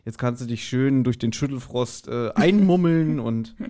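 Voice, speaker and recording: A man's voice: medium pitch, moderate pace, warm tone. Rambling, self-deprecating male podcast host. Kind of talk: casual, jokey podcast chat.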